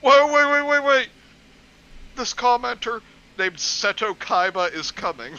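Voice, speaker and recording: Guy joking around, teasing, high bright voice with some fry, kinda lo-fi noisy audio. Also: lively intonation, a little slow. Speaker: co-host. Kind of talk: casual joking banter. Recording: dull audio with faint noise.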